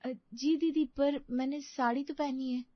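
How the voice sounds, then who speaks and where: Lady playing a young bride in a story, eager and touchy, highish voice, dull dry audio. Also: steady tone, a little slow, no echo. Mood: eager, nervous. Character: naive, eager, easily slighted young new bride.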